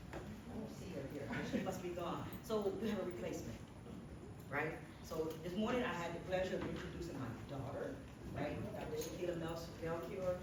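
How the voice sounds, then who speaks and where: Chatty older woman in an echoey hall. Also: on the low side. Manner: chatty, warm-hearted, joking, laughs often. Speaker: organization leader introducing the panel. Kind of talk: casual conference introduction. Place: large conference room. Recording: echoey hall, distant mic, loud background noise.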